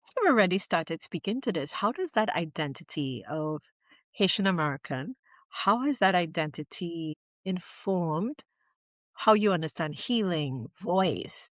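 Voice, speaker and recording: A woman from Trinidad and Tobago hosts the conversation, reflecting back her guest's words and asking open questions. Her voice sits fairly low and sounds close and dry, with no room around it. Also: animated, measured pace, dry recording. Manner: affirming, lyrical, encouraging. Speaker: interviewer host. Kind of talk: warm interview conversation.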